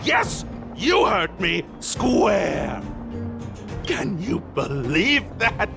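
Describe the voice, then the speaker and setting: A man voicing a nervous, easily frightened night patrolman in a video game, stammering and halting as he talks. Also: high voice, wildly swooping pitch, gravelly, music in the background, exaggerated voice. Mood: shaken, frightened.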